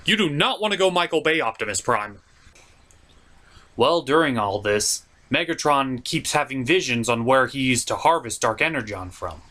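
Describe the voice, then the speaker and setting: A man narrates a scripted comedic cartoon review as its host. His voice is bright and forceful, with very lively swings in pitch. The delivery is theatrical, jokey and emphatic. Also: normal speed.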